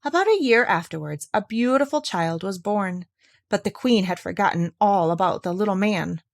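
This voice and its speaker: A woman storyteller reading a fairy tale aloud in an expressive, theatrical and clearly enunciated way. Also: mid-range voice, normal speed.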